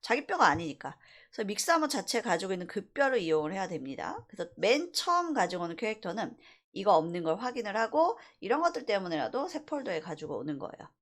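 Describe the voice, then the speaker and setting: A woman instructor giving an informal software tutorial, explaining step by step and stressing key points. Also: medium pitch, very animated, fast. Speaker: university animation instructor.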